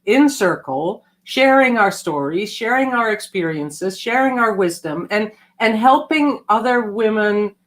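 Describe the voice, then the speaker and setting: Lady guest on a video call, mid pitch, thoughtful, goes quiet and slow sometimes. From Netherlands. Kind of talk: casual online interview.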